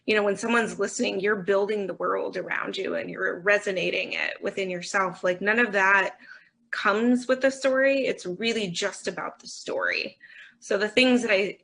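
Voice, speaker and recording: A woman's voice: medium pitch, moderate pace, gravelly, a bit bright. A woman, an executive on an interview panel, explaining things in an earnest, conversational way.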